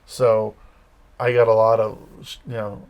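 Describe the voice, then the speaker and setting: A man's voice: lowish voice, little pitch movement, unhurried, darkish tone. A middle-aged man from Massachusetts with a Massachusetts accent, chatting as a guest and telling rambling stories full of fillers. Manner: rambling, storytelling, many fillers. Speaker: campus ministry leader, guest. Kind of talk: casual interview chat.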